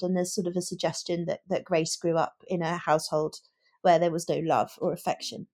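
A woman's voice: on the low side, a little mellow. A woman co-hosting a podcast in a casual book discussion, talking in an emphatic, opinionated and articulate way.

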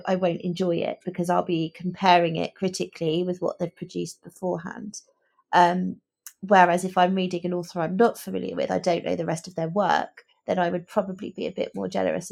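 Female book podcaster, lowish voice with plenty of fry, earnest explanatory chat. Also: little pitch movement, a little fast. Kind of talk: casual book discussion.

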